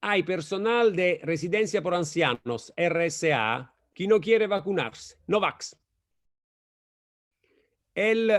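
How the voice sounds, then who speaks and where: A man with an Italian accent, speaking Spanish as a second language, giving an academic lecture over a video call in a slow, halting way with frequent self-corrections. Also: on the high side, gravelly. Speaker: guest lecturer.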